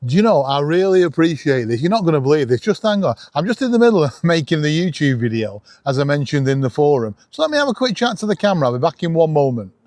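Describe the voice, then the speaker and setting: A man's voice: fairly high pitch, very animated, fast, few pauses, darkish tone. A middle-aged man, chatting casually and enthusiastically as a self-deprecating YouTube host.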